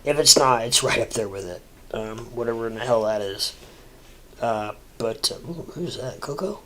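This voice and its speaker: A man rambling through a casual livestream, stuffy and congested, halting with lots of fillers and restarts. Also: mid-range voice, bright, forceful tone, slight background noise. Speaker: crypto livestreamer. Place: home room, seated at a desk.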